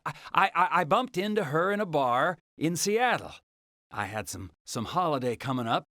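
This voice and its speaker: A man's voice: on the high side, sing-song, moderate pace, sharp tone, no room sound, studio quality. A man playing an evasive, defensive suspect in a video game, hedging and stammering. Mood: defensive, grudging. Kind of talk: video game cutscene acting.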